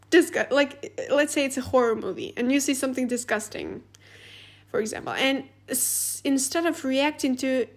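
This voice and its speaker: Woman, high voice, chatty podcast host, laughs a lot, says like all the time. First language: Russian. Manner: chatty, animated, laughs often, many "like" fillers. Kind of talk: casual advice monologue.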